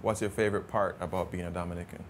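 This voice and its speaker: Jamaican guy vlogging his trip, lowish voice, chill chatty, bit of noise around. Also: rapid-fire. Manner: chatty, relaxed, some stammered restarts. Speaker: travel vlogger. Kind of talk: casual travel vlog chat.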